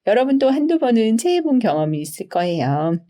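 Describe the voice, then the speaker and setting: Female storybook narrator, soft-toned with lively melody, reading folktales to young children at bedtime. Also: a little high, brisk. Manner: storyteller's expressive, polite explaining delivery.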